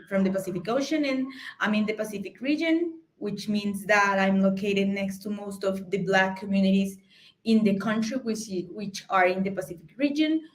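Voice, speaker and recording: A young adult woman from Colombia with a Colombian accent, Spanish her first language, giving an informal tech talk at a conference with many fillers and restarts. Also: mid-range voice. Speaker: conference speaker.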